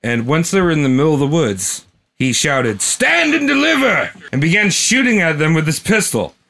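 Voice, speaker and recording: A man hosting a casual comedy podcast, telling a history story in a lively way and laughing often. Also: on the high side, normal speed. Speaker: podcast host.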